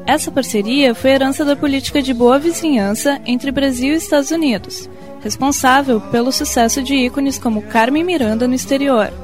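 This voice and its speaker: A woman with a Brazilian Portuguese accent reading a scripted radio report in a measured newsreader style. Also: high voice. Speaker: reporter narrator. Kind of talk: scripted narration.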